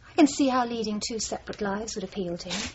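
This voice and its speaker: An actress playing a composed, wry young dancer in a radio drama, with a cool, reserved way of talking. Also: female voice, medium pitch, fast, lightly acted. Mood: wry, reminiscing. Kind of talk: naturalistic radio drama acting. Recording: dull, noisy old radio broadcast.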